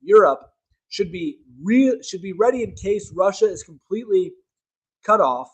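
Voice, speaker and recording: Guy ranting on his livestream, kinda high voice, keeps restarting sentences. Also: expressive, measured pace, husky, poor audio. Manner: emphatic, rambling, self-correcting; reads articles aloud to viewers. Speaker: political livestream host. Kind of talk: casual opinion livestream.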